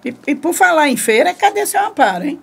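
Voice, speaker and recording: A woman's voice: high-pitched, very animated, a little fast, vocal fry, heavily acted. An actress playing a practical, curious woman friend in a radio soap opera, with a Brazilian accent. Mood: inquisitive.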